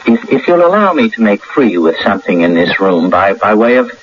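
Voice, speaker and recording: Radio play: nervous, stammering little-man bookkeeper, earnest, very animated delivery, dull old broadcast sound. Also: on the low side, a little fast, slight background noise. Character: anxious ordinary bookkeeper burdened with miraculous powers. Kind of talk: radio drama acting.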